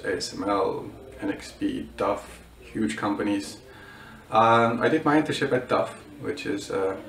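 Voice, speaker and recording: A young man from Bulgaria answers interview questions in a low, creaky voice. He hesitates often, with fillers and restarts. The sound is roomy and distant, as if the mic sits well away from him. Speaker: student.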